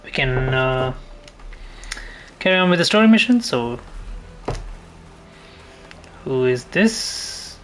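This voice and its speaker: A man's voice: mid-range voice, animated, takes it slowly, pauses often, a bit bright, a little hiss or hum. A man giving casual gameplay commentary as a streamer, rambling and thinking aloud as he plays. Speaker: gaming streamer. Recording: faint game ambience behind. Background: video game ambience.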